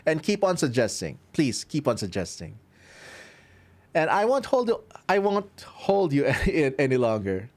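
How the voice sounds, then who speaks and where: A man from the Philippines with a Filipino accent, chatting casually to his livestream audience as he wraps up, upbeat and chuckling often. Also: a little high. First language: Filipino language. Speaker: streamer and violinist. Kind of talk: casual livestream sign-off to chat.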